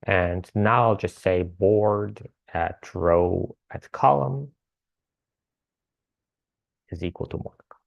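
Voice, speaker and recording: A man lecturing on code as he writes it, casual and thinking aloud with many fillers, his voice slightly hoarse. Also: deep voice, very slow, pauses often, dry recording, dull audio. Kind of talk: live coding lecture.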